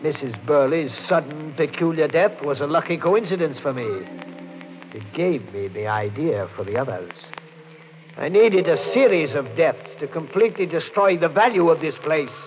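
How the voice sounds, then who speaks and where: A man playing a cold, calculating murderer in old-time radio horror, with a menacing, deliberate, theatrical delivery. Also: fairly high pitch, lively intonation, over music, close to an everyday voice. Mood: cold, confessing. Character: cold, calculating husband turned murderous villain. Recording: muffled, noisy vintage radio recording.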